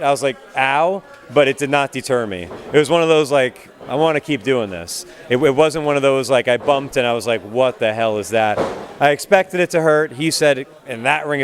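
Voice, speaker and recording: An American man in his twenties being interviewed as a pro wrestler, talking casually in a chatty, cheeky way. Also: mid-range voice. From United States. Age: 20s.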